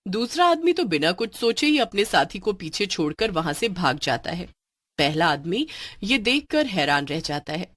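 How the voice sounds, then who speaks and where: Lively woman storybook narrator. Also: on the low side, normal speed.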